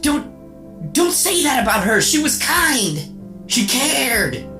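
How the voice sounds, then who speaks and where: A woman's voice: sits high, very animated, slow, bright, forceful tone, full character voice. A woman playing an earnest, determined boy robot superhero in a melodramatic radio serial. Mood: anguished pleading. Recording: roomy echo, music underneath.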